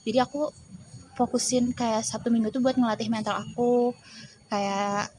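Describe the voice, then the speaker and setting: A woman's voice: fairly high pitch, fairly even intonation, normal speed, a little mellow. Chatty teenage girl, noisy background. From West Java, Indonesia. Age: teens. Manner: chatty, loose, filler-heavy. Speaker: guest, teen pageant model. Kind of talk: casual interview chat.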